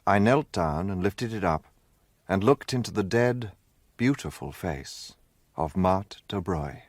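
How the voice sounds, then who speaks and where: An actor playing an earnest gentleman, the loyal companion who narrates a detective radio drama. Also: low-pitched, animated, a little slow, no echo. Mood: measured, suspenseful.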